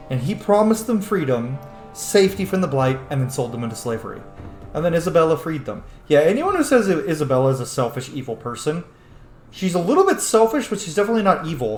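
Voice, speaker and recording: A man giving casual, opinionated commentary while playing a video game, profane, emphatic and argumentative. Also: mid-range voice. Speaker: gaming video host. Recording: roomy, echoing home microphone.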